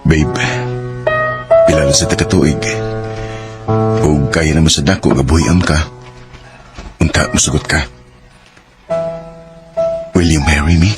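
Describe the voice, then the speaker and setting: An actor playing a devoted, hopeful young husband in a Filipino radio drama, speaking with a Filipino accent. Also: male voice, very deep voice, steady tone, slow pace, pauses often, loud music behind the voice, full character voice. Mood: tender.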